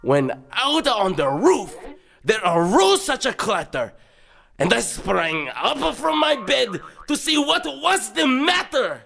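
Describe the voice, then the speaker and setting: A man narrating a storybook tale in a theatrical, dramatic and expressive way. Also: very high-pitched, gravelly. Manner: dramatic, expressive, drawn-out phrasing. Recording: music behind. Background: background music.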